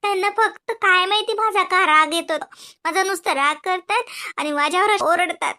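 A woman's voice: very high voice, moderate pace, bright, forceful tone. A woman doing a whiny young daughter-in-law. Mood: complaining. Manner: whiny, pleading to the listener.

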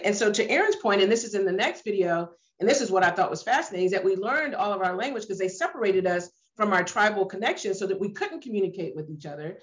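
A woman's voice: medium pitch, lo-fi sound. A woman facilitating a workshop discussion over a video call, speaking emphatically and repeating points for stress. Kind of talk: leading an online discussion. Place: room with a sloped ceiling. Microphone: laptop webcam on a video call.

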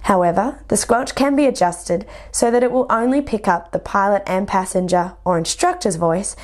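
A woman's voice: medium pitch, expressive, normal speed. A young woman with an Australian accent, a flight instructor, delivers scripted instructional narration in a methodical, explanatory way. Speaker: flight instructor presenting a lesson.

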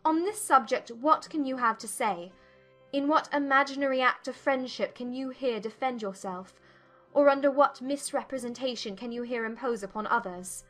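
A woman acts a spirited young gentlewoman from a period romance audiobook. Her high voice delivers formal, accusing lines with firm emphasis and indignation. Music plays softly behind her. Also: normal speed. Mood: cold accusation. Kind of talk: dramatised audiobook acting.

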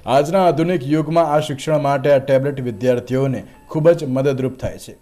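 Mellow male news narrator over music. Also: medium pitch, a little fast. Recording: music bed under voice-over.

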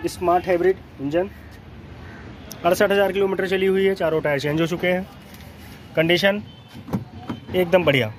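A man's voice: high-pitched, fairly even intonation, normal speed, long pauses. A man with a North Indian accent presenting used cars in a matter-of-fact walkaround, listing details in short phrases. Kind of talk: spoken walkaround sales presentation. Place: outdoor car lot, beside and inside cars. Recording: noisy outdoor street ambience.

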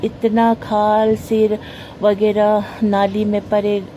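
A woman's voice: mid-range voice, completely flat delivery, takes it slowly, mellow, slight background noise, rough recording. A woman vlogger, giving an earnest, preachy monologue in a carpeted room at home. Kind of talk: moralizing monologue to camera. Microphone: phone camera pointed at the floor.

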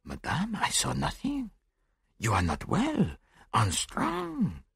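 A man voicing a shrewd, self-assured foreign detective in an audiobook, speaking briskly and precisely with little interjections. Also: fairly low pitch, huge pitch swings, creaky, dry recording, studio quality, exaggerated voice. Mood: puzzled, soothing.

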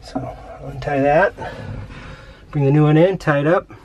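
A middle-aged man talking casually on a sailing vlog, joking and relaxed, with lots of "uh" fillers. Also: on the high side, normal speed, lo-fi sound, a little hiss or hum. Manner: relaxed, jokey, with many fillers. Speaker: vlogger, boat owner. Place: cabin of a sailboat.

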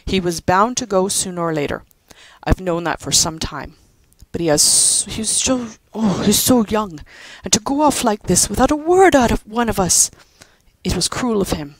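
A woman reads a grave middle-aged father, halting and subdued as he talks. The tone stays close to her own, gravelly and dry. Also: fairly low pitch, a bit bright, dry recording, low-quality recording. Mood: grieving, grave.

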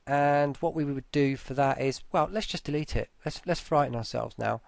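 A man talking through a casual screen-recorded software tutorial, informally, with muttered asides to himself. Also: on the high side, moderate pace, vocal fry, no echo.

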